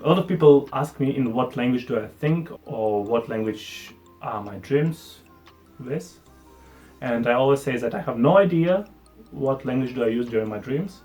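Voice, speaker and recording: A young man with a Ukrainian accent talks casually to the camera, his voice sitting at an ordinary middle pitch. He is chatty and self-deprecating, with slightly non-native phrasing. Faint music plays under him. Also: darkish tone. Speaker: vlogger. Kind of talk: casual talking-head vlog.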